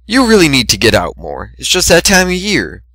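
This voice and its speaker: A brash young mare from a storybook reading, voiced by a man. The pitch is lifted well above a man's plain talk, the tone is bright, and the manner is breezy and teasing. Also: wildly swooping pitch, moderate pace, creaky, dry recording, clean studio sound, heavily acted. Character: brash, teasing young female flying pony.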